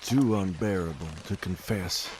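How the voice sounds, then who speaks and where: A man acting a grim, guilt-ridden dead man who narrates a scripted audio drama in a slow, brooding, deliberate way. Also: low voice, a little hiss or hum, low-quality recording. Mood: haunted, reluctant. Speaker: narrator and in-story character. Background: music.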